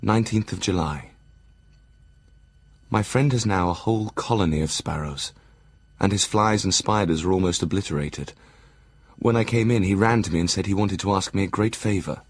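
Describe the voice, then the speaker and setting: A man playing a brooding Victorian asylum doctor, narrating his journal in a measured, formal way for an audio drama. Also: sits low, breathy edge, no room sound. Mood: measured, observant. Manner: measured, formal, literary delivery.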